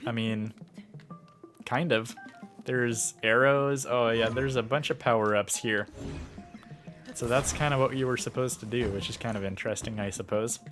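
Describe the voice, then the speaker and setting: A man's voice: mid-range voice, steady tone, normal speed, long pauses, a bit bright, soft background music. A man streaming a video game, musing aloud with lots of fillers while sick and losing his voice. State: sick, starting to lose his voice. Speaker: gaming livestreamer. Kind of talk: casual gameplay commentary.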